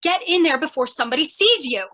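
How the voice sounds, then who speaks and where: Woman playing a high, excitable eager lady reporter. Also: fast. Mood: bossy urgency. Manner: gushing, theatrical, insistent. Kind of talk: old-time radio comedy-thriller acting.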